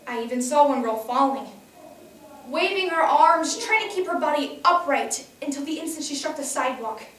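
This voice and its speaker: A woman's voice: high-pitched, lively intonation, moderate pace, a bit bright. A woman playing a young news reporter recounting a horror they witnessed, in a weighty, dramatic stage monologue. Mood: grim, horrified. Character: young news reporter, shaken horrified eyewitness. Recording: echoey room, distant mic.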